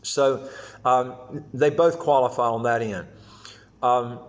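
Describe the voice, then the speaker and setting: A man giving an informal explanatory talk to viewers as an advice host, halting and often repeating or stumbling over his phrases. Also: medium pitch, steady tone. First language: English. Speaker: host of a second-passport advice channel.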